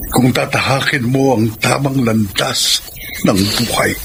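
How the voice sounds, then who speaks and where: An actor with a Filipino accent playing a loving, solemn middle-aged father who gives deliberate, gentle advice in a radio drama. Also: mid-range voice, vocal fry, background noise. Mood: solemn, tender. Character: grieving, loving older father, gently advising.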